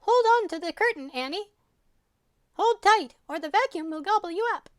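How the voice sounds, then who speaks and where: A man's voice: very high-pitched, animated, normal speed, a bit bright, dry recording, studio quality, voice pushed up. A man voicing a grand, breezy female city mouse in a theatrical storybook manner. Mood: frantic.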